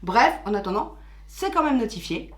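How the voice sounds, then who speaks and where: A woman's voice: fairly high pitch, very animated, moderate pace, a bit bright. A woman, co-hosting a channel and explaining things patiently in casual chat. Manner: chatty, explains patiently with filler words. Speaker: co-host of a theme-park channel. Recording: echoey room.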